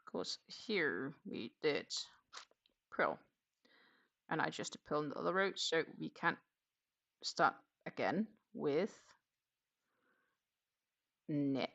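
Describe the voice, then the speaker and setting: A woman hosting a casual craft livestream, chatting haltingly and muttering to herself in a self-deprecating way. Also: sits low, expressive, gravelly, a bit bright, dull audio. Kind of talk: casual livestream chat while knitting.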